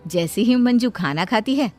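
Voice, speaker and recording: A woman's voice: fairly high pitch, lively intonation, normal speed, darkish tone, soft background music. A woman storyteller reading scripted narration for a cartoon bedtime story.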